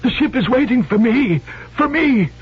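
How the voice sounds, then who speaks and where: A man's voice: extremely high pitch, expressive, normal speed, breathy edge, heavily acted. An actor in a radio drama playing a delirious dying man, gasping and groaning as he insists in strained, repetitive bursts. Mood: feverish, insistent. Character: delirious dying man, obsessed with gold and his ship. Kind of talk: 1970s radio drama acting. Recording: dull vintage radio recording with hum.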